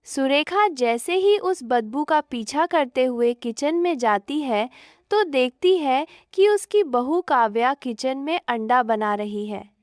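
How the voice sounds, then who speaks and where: A woman's voice: high-pitched, expressive, slow pace, few pauses, dry recording, studio quality. A woman narrator reading a scripted story.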